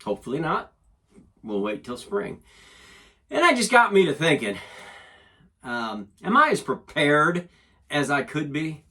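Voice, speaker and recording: A man's voice: on the high side, very animated, a little slow, long pauses, pushed and bright. A man giving a casual, folksy hands-on demonstration as a DIY host, often talking half to himself. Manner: folksy, talks to himself while working. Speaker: DIY channel host and product reviewer.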